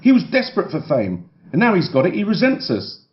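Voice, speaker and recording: A man acts out a nosy tabloid photographer, blunt and gossipy, in an audiobook memoir. The voice is pushed up high and moves around a lot. The sound is slightly dull. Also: wildly swooping pitch, brisk. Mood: aggrieved. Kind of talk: acted quotes in a memoir audiobook.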